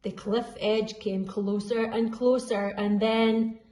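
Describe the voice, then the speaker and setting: A woman reading a children's story aloud expressively, deliberately drawing out the dramatic sound words. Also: fairly high pitch, little pitch movement, dark tone. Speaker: school storyteller.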